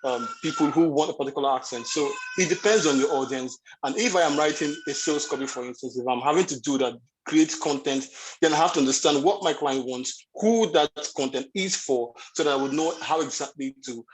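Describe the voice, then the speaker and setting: A man speaking on a video call about sales and business, talking hesitantly with many pauses and restarts. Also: fairly high pitch, a little hiss or hum, rough recording. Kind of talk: casual panel talk.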